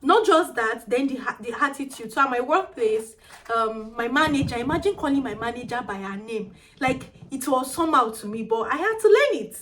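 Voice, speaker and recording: A woman's voice: high-pitched, animated, fairly quick, fairly bright tone, slight background noise, rough recording. A young woman in her twenties with a Nigerian accent and a small, tiny-sounding voice, chatting animatedly in a casual vlog and slipping in pidgin phrases. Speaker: vlogger.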